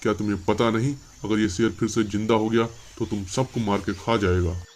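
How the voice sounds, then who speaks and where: A man's voice: fairly low pitch, steady tone, normal speed, lightly acted. A man voicing a wise, stern forest hermit who speaks plainly and admonishingly in a children's story. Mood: scolding warning.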